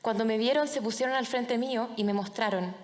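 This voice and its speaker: A young woman with a Chilean accent tells a personal story as a public speaker, in short, deliberate phrases with dramatic pauses. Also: a little high, darkish tone. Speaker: public speaker, anti-bullying foundation director. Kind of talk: personal storytelling talk to an audience. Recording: reverberant large hall.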